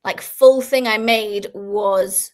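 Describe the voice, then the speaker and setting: A woman's voice: mid-range voice, fairly even intonation, slow. A woman in her thirties who grew up in Belgium, chatting casually and laughing often as an interview guest. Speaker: guest crochet designer.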